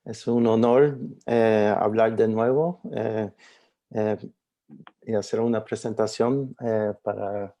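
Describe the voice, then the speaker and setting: A middle-aged man from Puerto Rico presents research in an online webinar. His voice is gravelly and mellow, his pace slow. He talks haltingly, with frequent fillers and restarts. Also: fairly low pitch, fairly even intonation. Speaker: guest speaker, researcher.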